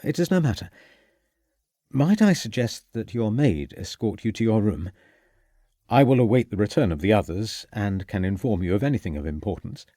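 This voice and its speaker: A man voicing a calm, courteous gentleman investigator in an audiobook mystery. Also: lowish voice, no echo, clean studio sound. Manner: measured, courteous.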